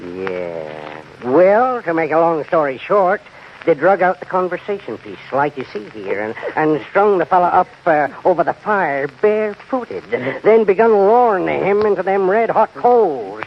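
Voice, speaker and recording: A man's voice: a little high, very animated, a little slow. A man playing a folksy, wry frontier lawman with a rustic drawl and a commanding way, in an old-time western radio drama. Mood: wry, menacing. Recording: dull, faintly noisy old radio recording.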